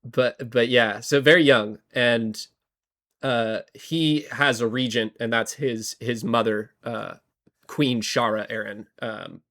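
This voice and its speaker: A man co-hosting a podcast, slightly hoarse from a cold, talking casually with lots of fillers and restarts. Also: mid-range voice, steady tone, slow, fairly bright tone. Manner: rambling, many fillers and restarts. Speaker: podcast co-host. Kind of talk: casual history chat.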